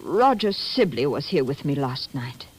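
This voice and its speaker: An actress playing an earnest, anxious refugee professor with formal, urgent speech in an old radio drama. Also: female voice, a bass voice, sing-song, measured pace, darkish tone, breathy edge, full character voice, low-quality recording. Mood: guarded, earnest. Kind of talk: 1940s radio acting.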